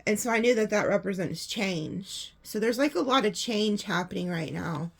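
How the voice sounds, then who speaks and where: A woman with a hoarse voice, chatting casually through a tarot reading and laughing often. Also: medium pitch, expressive, moderate pace. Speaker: tarot reader.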